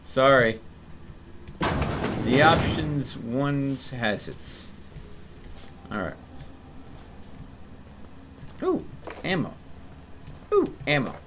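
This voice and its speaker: A man's voice: mid-range voice, sing-song, extremely slow, pauses often, noisy background. A man live-streaming a game, chatting casually with viewers and thinking aloud.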